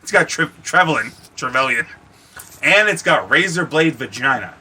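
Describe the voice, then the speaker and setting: Very bright, forceful-voiced male podcast host. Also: a little high, lively intonation, moderate pace, a little hiss or hum. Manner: emphatic, profane. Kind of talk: casual chat about films.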